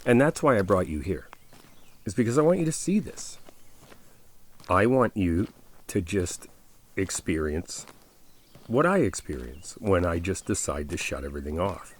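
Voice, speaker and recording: A man acting out a caring best friend in an ASMR audio roleplay, with a low-pitched male voice. He speaks casually and directly to the listener, coaxing and reassuring, gently insistent. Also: very animated, unhurried, long pauses, exaggerated voice. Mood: earnest, reassuring.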